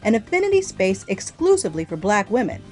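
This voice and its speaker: A woman's voice: mid-range voice, sing-song, quick pace, darkish tone, soft background music. A woman who works as a writing mentor, delivering a scripted promotional announcement.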